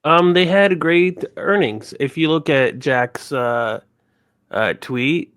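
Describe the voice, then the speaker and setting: A man giving casual financial analysis as a panelist, explaining in a halting way. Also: a little high, a bit bright, clear, resonant tone, dry recording, studio quality.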